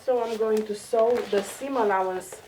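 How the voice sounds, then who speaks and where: A woman's voice: fairly high pitch, normal speed, distant mic, background noise. Nasal woman with a cold, chatting while sewing. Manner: chatty, self-deprecating, talks while working. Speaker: sewing vlogger. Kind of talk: casual sewing tutorial. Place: home craft room.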